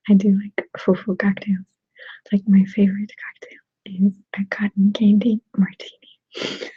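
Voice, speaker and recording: Breathy whispering woman with Russian accent. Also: medium pitch, completely flat delivery, takes it slowly, pauses often, no echo. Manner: soft whispering, giggly, answers viewer comments. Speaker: ASMR channel host. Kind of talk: whispered ASMR live chat. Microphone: desktop USB microphone. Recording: dull, dry close-mic sound.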